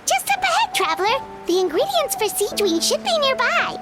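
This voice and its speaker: A woman voicing a small, excitable fairy companion girl in a video game, squeaky and childlike. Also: normal speed, over music. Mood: chirpy. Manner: exclamatory, cutesy. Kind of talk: video game character acting.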